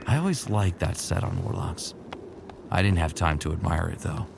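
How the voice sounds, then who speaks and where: A man's voice: sits low, animated, normal speed, creaky, noisy background, heavily acted. A man playing a weary, remorseful futuristic soldier in an earnest, brooding way, narrating and speaking in a sci-fi audio drama. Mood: reflective.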